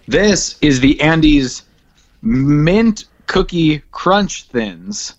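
A man's voice: a little high, animated, extremely slow, no room sound, dull audio. A man co-hosting a comedy podcast with casual, jokey banter that slips easily into bits. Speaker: podcast co-host.

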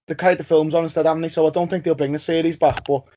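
A podcast host, a man, chats casually. His voice sits a little high yet is soft-edged and mellow, with slightly lo-fi sound. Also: level tone, very fast. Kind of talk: casual chat. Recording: slightly dull, lo-fi audio.

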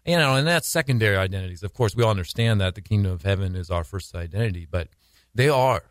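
A lower-pitched American man speaking as a missionary guest on a radio interview. He talks thoughtfully and haltingly, often starting his sentences over. The sound is dry and close. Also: animated, no echo. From United States. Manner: thoughtful, halting, many restarts. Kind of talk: casual interview talk.

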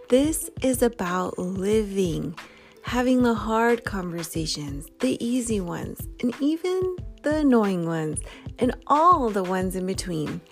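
A young adult woman hosting a podcast, upbeat as she opens the show and interviews a guest. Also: fairly high pitch. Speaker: podcast host.

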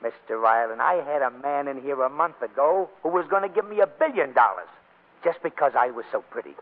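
A man's voice: medium pitch, lively intonation, brisk, close to an everyday voice. An actor playing a folksy sanitarium attendant who humors his patient, in a 1940s radio comedy. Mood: teasing. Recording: tinny vintage radio broadcast.

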